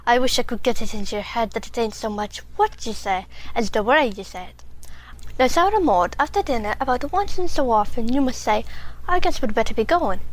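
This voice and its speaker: A woman's voice: fairly high pitch, animated, brisk, lightly acted, rough recording. An actress playing a bossy, fussing working-class mother in a family audio drama, with folksy, ungrammatical, scolding speech. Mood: fussing, coaching.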